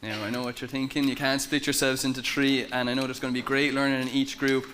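A man's voice: medium pitch, little pitch movement, quick pace, background noise. A young man, co-hosting a conference. He reads out notices from the stage in an upbeat, informal way. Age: young adult. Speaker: conference co-host. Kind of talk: hosting announcements. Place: stage of a large conference hall.

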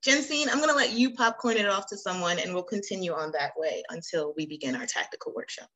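A woman's voice: fairly low pitch, fast talker, fairly bright tone, rough recording. A woman hosting a casual group video call, playful, reacting aloud and urging people on. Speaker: host of a virtual icebreaker session.

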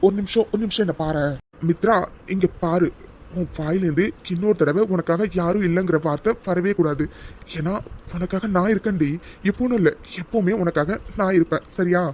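An actor playing a young man who talks in a soft, soothing and reassuring way. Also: high voice, fairly quick, a little hiss or hum, close to an everyday voice. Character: young man, gentle and protective lover. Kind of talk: romantic drama acting.

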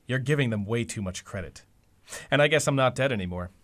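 A man's voice: medium pitch, lively intonation, quick pace, fairly bright tone, close to an everyday voice. A man playing a cynical district attorney in a radio drama, sardonic and confident. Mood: wry, cynical. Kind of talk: fan radio drama acting.

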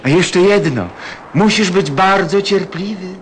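A man playing a gentle, wistful fox who craves friendship, speaking earnestly and tenderly in an audio play. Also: sits high, slight background noise. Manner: slow, earnest, tender delivery. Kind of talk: Polish-language audio play acting.

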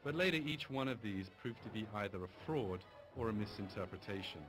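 A man giving measured, formal scripted documentary narration. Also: lowish voice, expressive, fairly bright tone. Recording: documentary audio over music.

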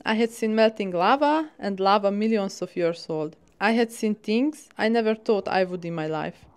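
A woman's voice: mid-range voice, normal speed, clean tone. Woman narrating a travel vlog. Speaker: travel vlogger.

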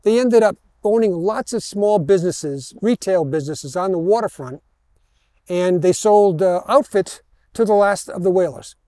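Older male tour guide, American. Higher-pitched, relaxed tone, halting storyteller, headset mic outdoors with no echo. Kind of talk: informal guided history talk. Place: outdoors in an open parking lot.

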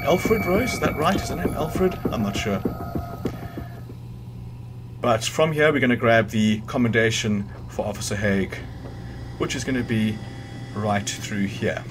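A man's voice: mid-range voice, unhurried, pauses often, noisy background. A man giving casual, informal walkthrough commentary on a video game, thinking aloud and correcting himself as he goes. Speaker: gamer hosting a video game guide. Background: video game music and sounds.

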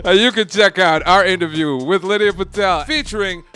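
Sing-song New TV host. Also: male voice, high voice, normal speed, pushed and bright, smooth. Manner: playful, sing-song delivery. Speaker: TV show host. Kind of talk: energetic TV host chat. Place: bookstore cafe indoors. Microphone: handheld mic.

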